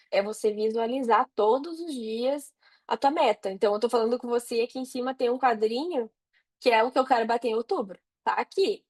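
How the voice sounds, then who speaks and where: A woman's voice: a little high, a little mellow. A woman with a Brazilian Portuguese accent, a podcast guest giving casual advice in an informal, anecdotal way.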